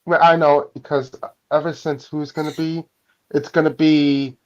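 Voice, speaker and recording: Casual interjector: halting, scattered delivery, mid pitch, dull lo-fi recording. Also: little pitch movement. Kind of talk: casual chat, role-play interjections.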